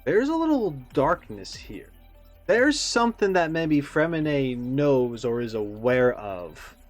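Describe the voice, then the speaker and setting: A man giving casual reaction commentary as an online host, talking in a musing, speculative way. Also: a little high.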